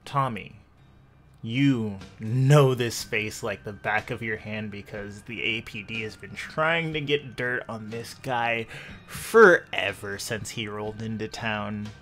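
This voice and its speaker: A man working as game master, narrating a tabletop roleplaying game in a deliberate, suspenseful storytelling manner. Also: fairly low pitch, a bit bright.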